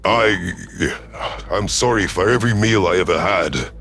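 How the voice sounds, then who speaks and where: A man voicing a solemn, remorseful reptilian alien predator with measured, formal speech in an audio sci-fi story. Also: deep voice, a bit bright, faint music behind, pitched below the performer's own voice.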